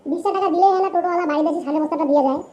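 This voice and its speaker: Squeaky high voice, rapid, lazy grumbling cartoon son, rural northern Bengali accent, whiny, coarse. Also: fairly even intonation, warm tone, lo-fi sound, a little hiss or hum. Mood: sulky. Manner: whining, griping, coarse village banter. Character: lazy, grumbling young village layabout, henpecked son, cartoon. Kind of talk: comic cartoon dialogue.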